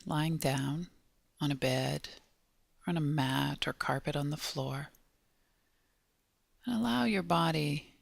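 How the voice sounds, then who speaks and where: Woman doing a guided meditation, really slow and gentle, bit of creaky fry, dry close sound. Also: low-pitched, dry recording. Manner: gentle, measured, long pauses between short phrases. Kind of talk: guided body scan meditation.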